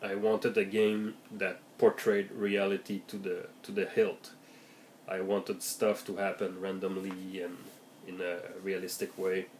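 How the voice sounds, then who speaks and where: A man with a heavy cold speaks in a very low, raspy voice. He talks slowly and haltingly, restarting his sentences as he gives advice on running games. Faint noise hums behind him. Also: level tone, a little mellow. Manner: hesitant, many fillers and restarts, thoughtful. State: has a heavy cold, voice deeper and raspier than usual. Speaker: game master giving tips. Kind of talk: casual vlog monologue.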